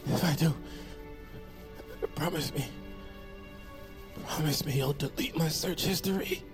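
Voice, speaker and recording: A man's voice: fairly high pitch, unhurried, over music, lightly acted. An actor playing a melodramatic young man, a jittery buddy hero in a comedic action audio drama, full of hesitant banter. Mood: mock-tragic dying. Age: young adult.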